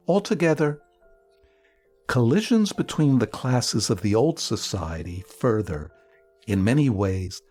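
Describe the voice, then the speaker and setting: A man reading a historical political text dramatically, with emphatic, oratorical delivery in deliberate phrases. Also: mid-range voice, a little mellow, slightly rough.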